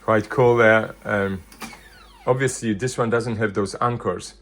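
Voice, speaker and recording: A man presenting a casual hands-on product demonstration, thinking aloud in loose, halting phrases. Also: fairly low pitch, fairly even intonation, fairly bright tone, slight background noise. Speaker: vintage hi-fi video presenter.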